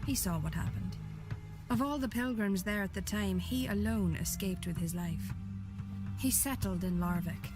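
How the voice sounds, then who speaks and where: A woman's voice: mid-range voice, music in the background, natural voice. A woman playing a guarded pagan priestess in a fantasy game, speaking formally and solemnly. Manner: formal, measured. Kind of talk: fantasy video game acting.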